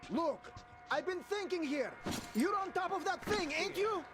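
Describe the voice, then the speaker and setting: A man's voice: extremely high pitch, animated, a little slow, loud music behind the voice. An actor playing a cheeky, wry gunman in a video game, teasing and spinning tales theatrically. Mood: teasing, mock dramatic. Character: cheeky, joking male wasteland gunman, tale-teller. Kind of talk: video game cutscene banter.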